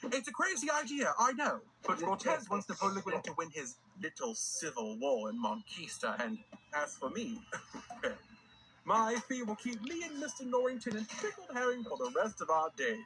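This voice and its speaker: High-pitched, swaggering game adventurer. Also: male voice, big pitch swings, moderate pace, pushed and bright, slight background noise, heavily acted, poor audio. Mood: wry bravado. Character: dashing, sly mercenary adventurer briefing a comrade. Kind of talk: scripted game character dialogue. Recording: music behind the voice. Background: music.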